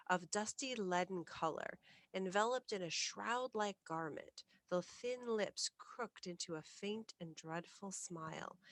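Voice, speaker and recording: A woman reading a classic ghost story aloud as scripted bedtime narration, in a measured, literary storytelling manner. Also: fairly low pitch, fairly bright tone, no echo. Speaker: storyteller, reader of ghost stories.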